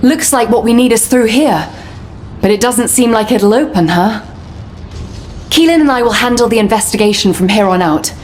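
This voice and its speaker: An actress voicing a brisk, commanding female investigator in a video game. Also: a little high, lively intonation, slight background noise, lightly acted. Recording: roomy, distant, loud game music.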